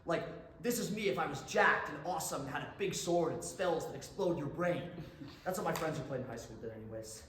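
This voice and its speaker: Low-voiced laid-back student gamer played by a woman. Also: brisk, fairly bright tone. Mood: amused, self-mocking. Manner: casual, slangy. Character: laid-back, teasing young male student stoner gamer. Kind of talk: staged play reading. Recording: echoey room, faint noise.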